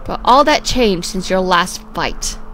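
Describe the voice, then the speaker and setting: A woman voicing a frank, world-weary male character in an amateur comic dub, blunt and halting as she explains. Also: on the low side, expressive, a bit bright, a little hiss or hum. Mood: stern.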